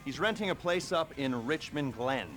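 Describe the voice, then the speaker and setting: An actor playing a chatty, self-mocking criminal heist handler in a video game, rambling and darkly joking. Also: male voice, on the high side, expressive, moderate pace, fairly bright tone, faint music behind. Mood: glib, businesslike. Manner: rambling, hesitant, darkly joking, chuckles to himself. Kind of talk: video game cutscene acting.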